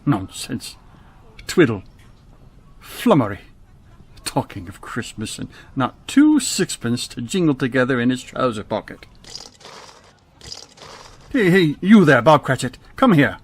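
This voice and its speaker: An actor playing a grumpy, miserly old Victorian business owner in a radio drama, curt, scolding and scornful. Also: male voice, medium pitch, sing-song, measured pace, pauses often, husky, full character voice. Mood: irritated, scornful.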